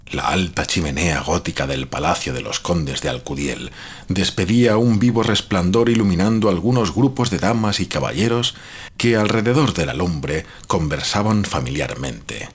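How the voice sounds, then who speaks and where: Casting: male narrator for gothic horror, deep and heavily rasping, grave, literary, deliberate. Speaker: storyteller.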